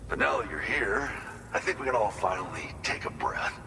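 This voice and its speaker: An actor playing a weary, grateful outpost worker in a video game cutscene, speaking in an earnest, halting, reflective way. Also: male voice, fairly low pitch, big pitch swings, gravelly, sharp tone, big-room sound, a little hiss or hum, exaggerated voice. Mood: relieved, grateful. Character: weary, grateful male facility worker in a post-apocalyptic outpost.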